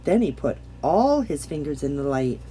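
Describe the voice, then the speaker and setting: Woman, low-pitched, very expressive storybook reader, gentle pauses, faint hum behind. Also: low-quality recording. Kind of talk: expressive children's bedtime read-aloud.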